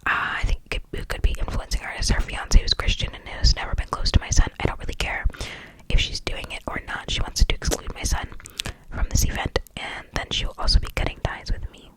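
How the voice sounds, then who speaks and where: A video creator speaking in a breathy whisper, casually reacting to stories in a gushing, slangy way with emphatic repetition and rambling asides. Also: brisk, poor audio. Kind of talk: whispered ASMR storytelling and reaction, casual chat.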